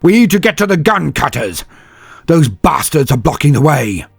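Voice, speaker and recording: A man voicing a gruff male executive officer who barks and snaps orders. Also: medium pitch, sing-song, moderate pace, darkish tone, dry recording, soft background music, voice pushed up, full character voice. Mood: barking. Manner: barking, snapping orders.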